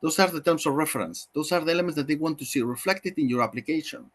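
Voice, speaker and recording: A man's voice: on the high side, fast, fairly bright tone. Spanish-accented man leading a webinar. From Spain. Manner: conversational, many fillers and self-corrections. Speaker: webinar trainer. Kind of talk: explanatory webinar talk.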